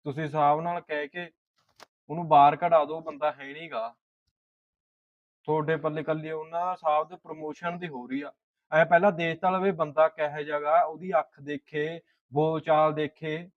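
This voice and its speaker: A man's voice: a little high, flat delivery, normal speed, no echo, muffled recording, lightly acted. A man playing a loyal, indignant house servant in a short film, advising earnestly with a Punjabi accent. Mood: concerned. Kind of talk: naturalistic short film dialogue.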